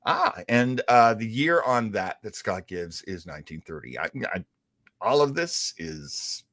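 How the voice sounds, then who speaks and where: A man's voice: medium pitch, very animated, measured pace, vocal fry, a bit bright, no room sound, studio quality. A man hosting a casual live talk show, speaking in an enthusiastic, rambling way with hesitant phrasing. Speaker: host of a livestream about classic radio and comics. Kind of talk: casual live chat commentary.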